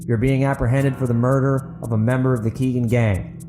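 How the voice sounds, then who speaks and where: A man voicing a composed, self-assured mayor with a polite, measured, official manner in an audiobook. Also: mid-range voice, flat delivery, over music, natural voice. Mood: stern. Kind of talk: dramatic audiobook character acting.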